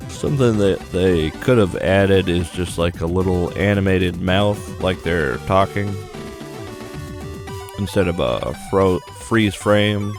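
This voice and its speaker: A man with a deep voice talks through a visual novel for a gaming video. His delivery is casual and offhand, loosely retelling the story. Video game music plays clearly behind him. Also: slow. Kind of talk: casual narrated first look of a visual novel.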